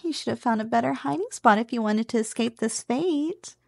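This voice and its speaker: Woman as a slime girl roommate, high breathy voice, teasing, possessive, affectionate, playful ASMR roleplay. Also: a little mellow, no room sound. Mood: smug, teasing. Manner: playful, teasing, slow and savoring.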